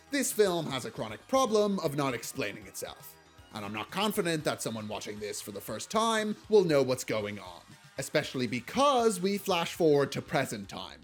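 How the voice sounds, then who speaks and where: A man narrating a scripted film review video essay in a wry way, leaning hard on key words. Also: on the high side. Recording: music behind the voice. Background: background music.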